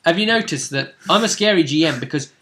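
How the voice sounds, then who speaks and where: A man's voice: fairly high pitch, expressive, quick pace, sharp tone, slight background noise. A man casually recapping a tabletop role-playing session as game master, telling it as a story and checking for agreement. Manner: storytelling, seeks agreement with tag questions. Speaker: game master and show host.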